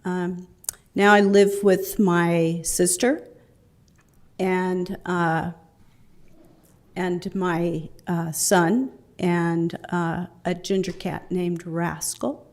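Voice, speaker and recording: A woman finishing a candidate statement at a forum, hesitant and pausing on fillers. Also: fairly low pitch, flat delivery. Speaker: council candidate.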